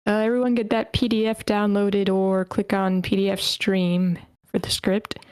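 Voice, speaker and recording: A woman's voice: mid-range voice, flat delivery, normal speed, darkish tone, clear, resonant tone. A woman casually hosting an online table read and organizing the readers. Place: online meeting.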